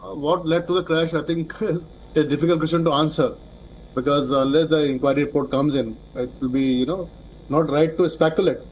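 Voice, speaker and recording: A man with an Indian accent giving expert commentary in a live news interview, speaking haltingly and repeating words as he goes. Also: on the high side, steady tone, darkish tone, a little hiss or hum, rough recording. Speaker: aviation expert, interview guest.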